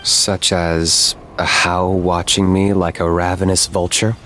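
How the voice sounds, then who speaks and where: Calm, low-voiced young warrior. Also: male voice, slow, noisy background. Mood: reflective, wry. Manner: calm, understated, dry. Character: cool, pragmatic young male warrior. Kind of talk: video game character acting.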